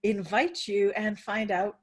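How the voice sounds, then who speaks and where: Woman podcast host, husky and breathy, hesitant and gently probing. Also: mid-range voice, steady tone, a little slow. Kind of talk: interview conversation.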